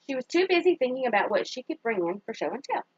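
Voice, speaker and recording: A woman reading a children's story aloud as a teacher would, pausing to put simple questions to young listeners and praise their answers. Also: sits high, very animated, slightly rough, no room sound, lo-fi sound.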